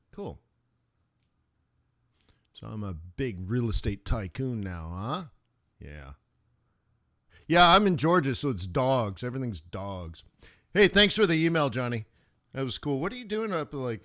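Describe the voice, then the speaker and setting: A man's voice: mid-range voice, a bit bright. A middle-aged man hosting a live trading stream, talking casually in rambling asides and laughing often. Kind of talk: casual rambling livestream talk.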